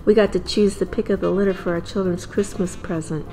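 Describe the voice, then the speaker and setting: A woman playing an affectionate, practical young mother in a radio play, reassuring and gently firm. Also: medium pitch, fairly even intonation, brisk, natural voice, rough recording. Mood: cheerful. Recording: music behind the voice.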